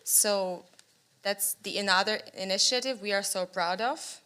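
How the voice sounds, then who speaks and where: A woman's voice: mid-range voice, a little slow, smooth. A young woman with a Ukrainian accent speaking enthusiastically at a panel discussion in a conference room, using a table microphone. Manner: enthusiastic, animated, with gestures.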